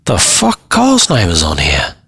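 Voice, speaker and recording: A man's voice: sits low, wildly swooping pitch, moderate pace, gravelly, fairly bright tone, breathy edge, no room sound, clean studio sound, heavily acted. A man voicing a sullen, defensive teenage boy in trouble, slangy and grudging, within a dramatised story. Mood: sulky, aggrieved. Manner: grudging, slangy, self-justifying. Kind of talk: dramatised short story reading.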